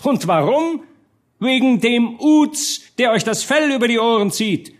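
A man voicing a gaunt, pompous agitator haranguing a crowd with a hoarse, rhetorical delivery in a storybook reading. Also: very high voice, animated, unhurried, pitched above the performer's own voice. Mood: pompous, indignant. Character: long gaunt agitator doctor haranguing a crowd, self-important.

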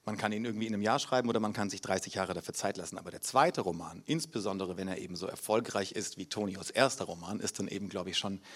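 A man's voice: low voice, fast, hardly any pauses. A German man hosting a literary reading in a city library, chatty and hesitant with fillers. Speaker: event host. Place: city library event room.

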